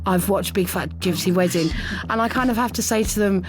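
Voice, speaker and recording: A woman's voice: mid-range voice, a little fast. A woman from England, a podcast guest who explains her community's traditions at length in casual conversation. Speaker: guest, project manager. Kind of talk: casual interview chat. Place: podcast studio.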